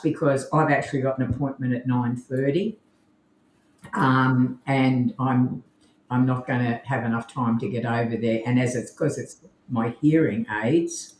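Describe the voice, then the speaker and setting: A woman's voice: very deep voice, a little mellow, slight background noise. An Australian woman co-hosting a casual live podcast, chatting in a rambling way with lots of restarts. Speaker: podcast co-host. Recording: roomy echo.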